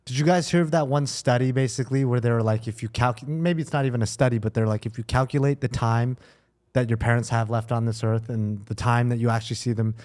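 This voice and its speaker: A man's voice: medium pitch, steady tone, fast talker, darkish tone. A man chatting casually about personal matters, thinking aloud in informal language and correcting himself as he goes.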